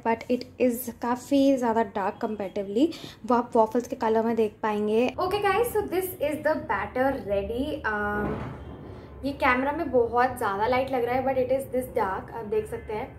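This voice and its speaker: High-pitched young Indian woman vlogger, echoey. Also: measured pace, a little mellow. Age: 20s. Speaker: home cooking vlogger. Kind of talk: casual cook-along vlog chat, switching between Hindi and English. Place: indoors at home, small curtained room. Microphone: phone camera a few feet away. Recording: echoey room, background noise, phone camera.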